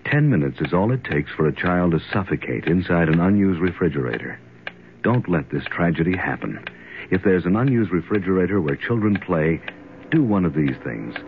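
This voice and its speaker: A man's voice: low voice, big pitch swings, normal speed. A man reading a grave scripted public service warning for 1970s radio. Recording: noisy, tinny 1970s radio, ticking.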